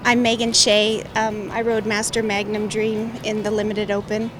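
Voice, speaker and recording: A woman's voice: mid-range voice, monotone, moderate pace, music in the background. A woman from Montana, a horse show rider, talking about her horse in a matter-of-fact way.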